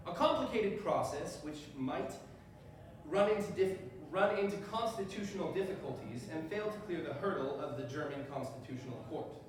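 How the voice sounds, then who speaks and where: Man acting a measured defense attorney, very echoey. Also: a little high, animated, lightly acted. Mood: measured, firm. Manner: measured, rhetorical questioning. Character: measured, principled male defense attorney in court. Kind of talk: live stage readers theater. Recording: distant mic, echoey room, faint noise.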